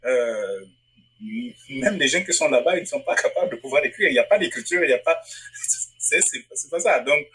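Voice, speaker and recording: A man's voice: medium pitch, expressive, a little fast, a bit bright, slight background noise, poor audio. A man from Africa, a publisher and writer, answering interview questions in a thoughtful way, often restarting phrases as he searches for words. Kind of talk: interview guest. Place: Northwest Territories.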